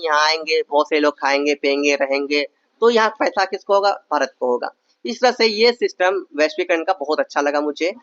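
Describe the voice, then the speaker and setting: A man's voice: a little high, fairly bright tone, dry recording, muffled recording. A young man from Bihar tutoring for exams, reading out answers and explaining them in casual asides, often checking whether students understand. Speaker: exam tutor. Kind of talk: exam-prep lesson, reading answers and explaining.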